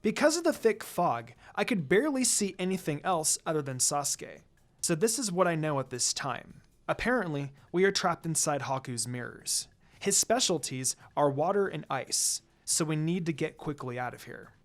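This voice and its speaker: A man's voice: on the high side, very animated, moderate pace. A man reading fan fiction aloud as dramatic first-person story narration. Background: background music.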